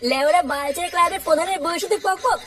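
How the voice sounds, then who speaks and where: An actor voicing a blustering, foul-mouthed cartoon husband with an artificially pitched-up voice, full of indignation. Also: rapid-fire, fairly bright tone. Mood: outraged. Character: hot-tempered, foul-mouthed drunkard husband and club boss. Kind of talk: comic cartoon dubbing. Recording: very noisy track.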